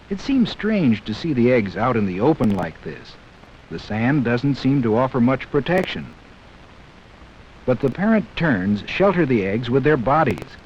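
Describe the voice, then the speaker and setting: A dull, faintly hissy 1960s film soundtrack carries a man's narration. His pitch is mid-range and moves in lively swings. He explains things to young viewers in a measured, scripted educational style.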